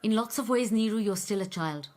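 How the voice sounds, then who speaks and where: A woman's voice: medium pitch, brisk, a little mellow, close to an everyday voice. A woman playing a sensible, more worldly friend in a radio drama, speaking in a frank, questioning, admonishing way. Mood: probing, advising.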